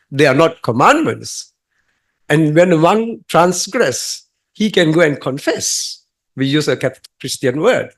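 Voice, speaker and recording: A young adult man from Singapore, a monk, making an earnest, emphatic comment from the audience at a talk, halting with fillers. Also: on the high side. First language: English. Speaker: monk asking a question from the audience.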